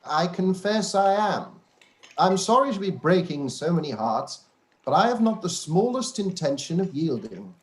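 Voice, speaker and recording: A man's voice: high voice, very animated, normal speed. A man playing a wry, unbending older father in a live-read radio play, teasing in a dry way.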